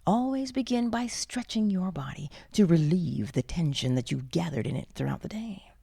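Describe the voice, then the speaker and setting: A woman leading a guided sleep meditation in a soft, breathy, often near-whispered voice, soothing, unhurried and gently encouraging. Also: lowish voice, lively intonation, dry recording.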